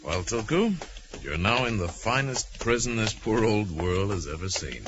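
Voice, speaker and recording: A male actor with an American accent playing a calm, courteous young crime-fighting mystic hero in a 1940s radio drama. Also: lowish voice, moderate pace. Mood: genial. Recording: dull vintage radio recording, music.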